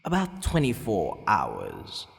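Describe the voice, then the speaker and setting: A man acting a haughty but softening vampire lord in an ASMR audio roleplay, brooding, formal and given to hesitant sighing. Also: on the low side, huge pitch swings, slow, vocal fry, heavily acted. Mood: gently reassuring. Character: possessive male vampire lord, haughty yet secretly softening. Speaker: roleplay voice actor. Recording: long reverb, faint background noise.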